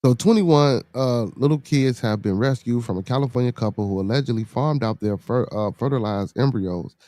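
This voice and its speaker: A man's voice: mid-range voice, moderate pace, dark tone, dry recording. A middle-aged man with a Chicago accent, hosting a podcast livestream, reading the chat aloud. He talks loosely and profanely, laughs often, and repeats himself for effect.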